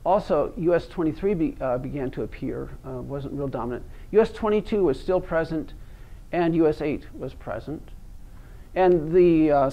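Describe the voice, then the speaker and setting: A man lecturing at a university seminar in a fairly high voice. He explains his material haltingly, as a professor would. The recording is dull and lacks detail. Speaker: university professor of plant pathology. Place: seminar room, speaking to an audience.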